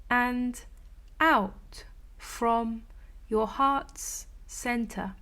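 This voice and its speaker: A woman leading a guided meditation in a soothing, gentle, unhurried manner with long pauses between phrases. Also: on the high side. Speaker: meditation teacher.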